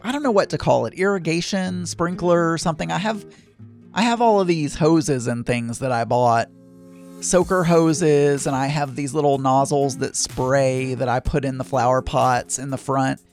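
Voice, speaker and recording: Chatty Southern man, higher voice. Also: moderate pace, a little mellow, faint music behind. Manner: rambling, chatty, frequent self-corrections. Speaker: vlogger. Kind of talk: casual chat.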